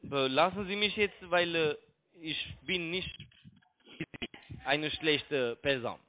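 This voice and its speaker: A man with a foreign accent argues over a thin, narrowband phone line. His voice sits fairly high, and his non-native, broken phrasing keeps repeating itself as he defends his position. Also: expressive, takes it slowly. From outside Germany. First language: not German. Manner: broken German, defensive, repetitive. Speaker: phone scam caller. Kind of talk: argumentative phone conversation. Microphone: telephone.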